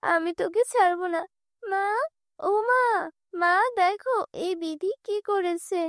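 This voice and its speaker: A woman's voice: very high-pitched, slow pace, fairly bright tone, dry recording, clean studio sound, pitched above the performer's own voice, exaggerated voice. A woman voicing a spoiled, spiteful young stepsister in a cartoon fairy tale, whiny and demanding. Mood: angry.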